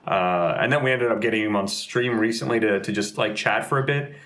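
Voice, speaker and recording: A man's voice: fairly low pitch, brisk, a bit bright. Casting: young man guest, rambling and filler-heavy, conversational. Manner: rambling, lots of fillers and restarts. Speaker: game community manager and streamer. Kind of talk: casual interview chat.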